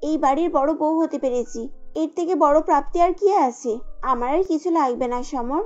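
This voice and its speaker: A woman voicing a gentle, humble, devoted young bride in a cartoon. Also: high voice, moderate pace, muffled recording, soft background music, natural voice. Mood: gentle, reassuring.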